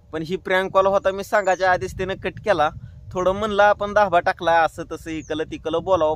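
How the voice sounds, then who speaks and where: A man's voice: high voice, fairly bright tone, slight background noise. A man with a rural Maharashtrian accent, chatting colloquially and directly to viewers as host of a prank-call channel. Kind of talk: casual chatty vlog narration.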